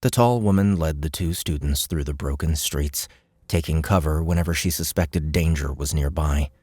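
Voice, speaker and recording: A man's voice: rumbling low, moderate pace, a little mellow, no room sound, clean studio sound. A man narrating an audiobook in a scripted, dramatic storytelling style.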